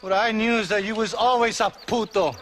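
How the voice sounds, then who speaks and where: A man's voice: sits high, steady tone, a little fast, a bit bright, slight background noise. A man playing a cornered, treacherous outlaw with a Mexican accent in a Western video game, wheedling and spiteful. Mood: bitter, spiteful.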